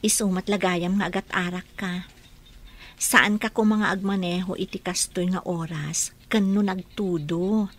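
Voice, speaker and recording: A woman in a radio drama playing a caring young fiancée who fusses gently over her man. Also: lowish voice, steady tone, a little slow.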